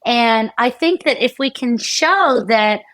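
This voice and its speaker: Casting: American woman researcher, middle-aged, lively and chatty, frequent fillers and self-corrections. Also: on the high side, slow. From United States. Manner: animated, many fillers and restarts, laughs often. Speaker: neuroscientist guest. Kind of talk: conversational interview explanation.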